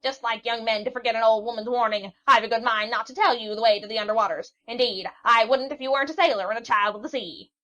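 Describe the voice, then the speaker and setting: A woman's voice: fairly even intonation, quick pace, hardly any pauses, bright, forceful tone. A woman voicing a cranky ancient sea witch who shrieks, scolds and rambles in a storybook reading. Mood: shrill scolding. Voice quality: shrill, screeching. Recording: dull lo-fi recording.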